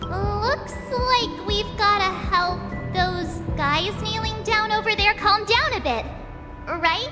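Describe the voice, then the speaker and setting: Very high, girlish game companion. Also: slow. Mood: casual. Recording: loud music behind.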